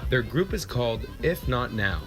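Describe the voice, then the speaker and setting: A man reads a scripted news report with crisp, reporting delivery. His pitch rises and falls a lot. A loud music bed plays under his voice in this news clip. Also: lively intonation, moderate pace. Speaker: news video narrator.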